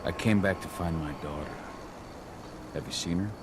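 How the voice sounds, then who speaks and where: Gruff, low-voiced defiant warrior played by a man. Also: moderate pace, noisy background, close to an everyday voice. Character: gruff, determined male warrior, a defiant father. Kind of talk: video game cutscene acting. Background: music.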